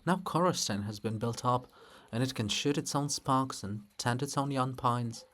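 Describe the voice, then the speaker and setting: A man's voice: mid-range voice, moderate pace, a bit bright, lightly acted. A man voicing a proud, brooding ancient princess statue in a dramatic play, declaiming in a lyrical, weighty way. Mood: solemn, mournful. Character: Princess Olha monument, ancient woman, solemn, brooding, proud.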